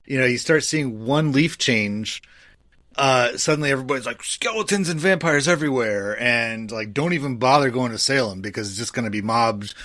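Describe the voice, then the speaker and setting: A man's voice: medium pitch, normal speed, a bit bright, dry recording, studio quality. A man hosting a podcast, talking casually and conversationally about history. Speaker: podcast host.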